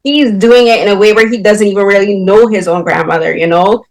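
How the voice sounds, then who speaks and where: A woman's voice: mid-range voice, a little fast, darkish tone. A woman from Barbados, interviewing filmmakers with long, winding questions full of fillers. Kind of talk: film interview.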